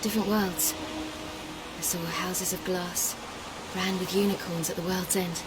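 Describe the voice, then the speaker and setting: An actress playing a calm, free-spirited young woman in a video game, composed and measured. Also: fairly low pitch, lightly acted. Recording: game audio with clear ambient noise.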